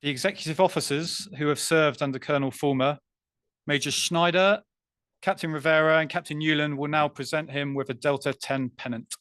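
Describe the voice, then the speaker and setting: A man's voice: a little high, steady tone, fairly bright tone, no echo, studio quality. Formal male ceremony announcer. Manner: measured, formal reading. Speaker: master of ceremonies.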